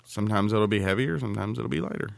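A man's voice: fairly low pitch, fast, gravelly, no room sound. A man chatting casually as a podcast co-host, with a rambling, folksy and teasing way of talking. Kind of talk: casual chat.

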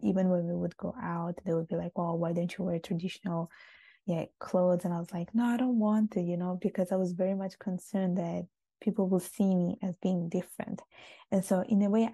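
A woman's voice: fairly low pitch, creaky, dark tone, husky, no echo. A young adult woman from Italy, answering interview questions in a reflective, casual way with frequent restarts. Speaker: guest.

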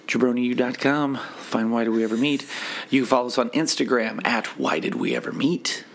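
A man co-hosting a podcast, slightly hoarse, joking and swearing in rambling casual banter. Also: mid-range voice, brisk. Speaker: podcast co-host.

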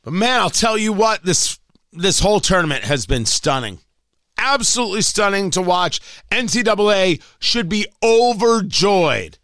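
A man's voice: high-pitched, big pitch swings, moderate pace, a bit bright, no echo. A male radio talk show host whose voice is slightly strained, talking in a rambling, emphatic monologue with frequent restarts from his home studio. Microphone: studio mic, working from home.

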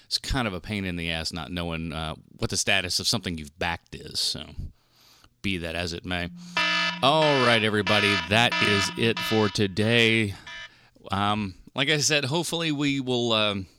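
A man's voice: lowish voice, moderate pace, pushed and bright, dry recording, faint music behind. A man hosting a film podcast solo in a casual, rambling, informal and mildly profane way. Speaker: podcast host reviewing films.

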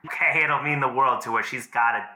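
An actor playing an exuberant, sentimental boyfriend who leaves casual, profane, halting phone messages in a naturalistic audio drama. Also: male voice, medium pitch, sharp tone, poor audio. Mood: excited, boastful. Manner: casual, swearing, effusive, halting.

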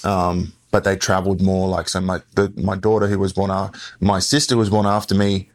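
Low gravelly Australian-accented man. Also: level tone, moderate pace. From born in the US, raised in Western Australia. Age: 40s. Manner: rambling, self-correcting storyteller. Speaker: podcast guest. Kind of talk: casual interview talk.

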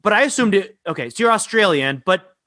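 A man hosting a podcast, talking in an excitable, jokey way with quick asides. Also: sits high, dry recording, clean studio sound. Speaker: podcast host. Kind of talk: casual chat.

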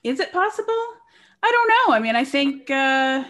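Female podcast host, relaxed chatter, trails off, higher pitch. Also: normal speed. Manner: chatty, laughs easily, trails off softly. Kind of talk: casual podcast chat.

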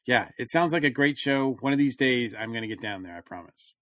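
A man co-hosts in a relaxed chat style. His pitch is average for a man. The recording is dry, with almost no room sound. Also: brisk, dry recording. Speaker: podcast co-host. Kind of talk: casual chat.

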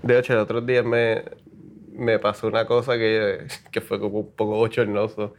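A man from Puerto Rico with a Puerto Rican Spanish accent, a copywriter talking casually in an interview, halting and full of restarts with English words mixed in. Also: lowish voice, little pitch movement, slight background noise. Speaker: copywriter guest.

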